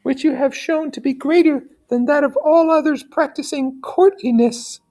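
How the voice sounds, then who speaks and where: A man's voice: very high voice, steady tone, normal speed, a little mellow, voice pushed up. A man reading a story aloud, voicing a pleading medieval noblewoman, an anxious mother with a formal, courtly manner.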